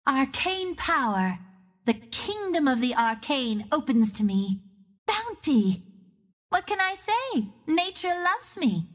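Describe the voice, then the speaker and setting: Young female wind archer, video game hero, high-pitched, very animated, cocky, breezy, theatrical. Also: takes it slowly, exaggerated voice. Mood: cocky, musing. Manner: breezy, sassy, theatrical delivery.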